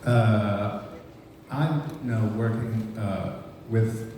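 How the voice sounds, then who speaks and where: A man's voice: on the low side, extremely slow, warm tone, slight background noise. A man answering at a press conference, hesitant and full of ums and restarts. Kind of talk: panel answers at a press event. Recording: echoey hall, voice from far off.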